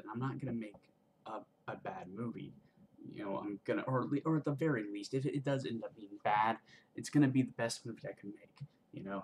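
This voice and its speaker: A man's voice: on the low side, a little hiss or hum, rough recording. Young man rambling in a casual vlog. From Georgia, US. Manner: rambling, many ums and restarts, self-deprecating. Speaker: vlogger, college student filmmaker.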